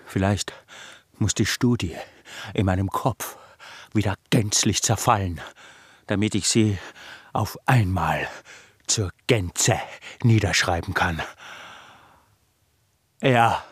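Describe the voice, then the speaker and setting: An actor playing an obsessed, despairing older man, a failed scholar, in a halting, repetitive literary radio drama monologue that swells into outbursts. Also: deep voice, slightly rough, no echo, heavily acted. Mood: brooding, halting.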